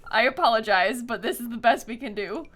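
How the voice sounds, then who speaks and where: A woman's voice: on the high side, fairly quick, fairly bright tone. A young woman live game streamer chatting casually and informally with her viewers, with a giggly manner. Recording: music playing behind. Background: music, typing.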